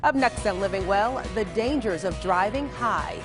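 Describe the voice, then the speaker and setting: Female local TV presenter, American, medium pitch, scripted links, light music bed. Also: animated, moderate pace. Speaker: local TV news host.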